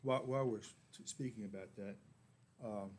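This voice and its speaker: Brief: low, dark-voiced man, measured questions, off-mic and noisy. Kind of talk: questioning at a public meeting.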